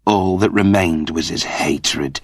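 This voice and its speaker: A man reading dramatic scripted fiction as an audiobook narrator, with measured, suspenseful storytelling. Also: deep voice, vocal fry, husky, no room sound, studio quality.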